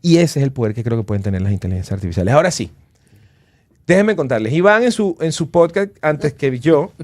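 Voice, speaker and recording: A man's voice: medium pitch, lively intonation, fast, a little mellow, no room sound. A man in his forties hosting a casual podcast interview, talking in an effusive, enthusiastic way. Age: 40s. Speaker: podcast host.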